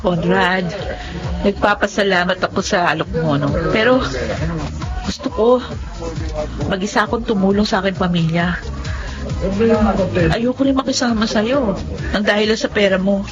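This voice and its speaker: A woman's voice: mid-range voice, normal speed, pauses often, close to an everyday voice. Muffled audio with music underneath carries an actress as a young woman in a Filipino radio drama. She speaks earnestly and emotively, a weary eldest daughter longing for a fresh start. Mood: gently firm. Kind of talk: Filipino radio drama acting and first-person narration. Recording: dull lo-fi audio with music behind.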